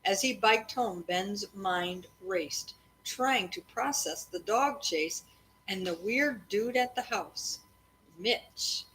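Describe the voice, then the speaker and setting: A woman reading a children's book aloud to kids in a storytelling style, pausing to chat and ask them questions. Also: medium pitch, a bit bright, slight background noise, low-quality recording. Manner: breaks off to address and question children.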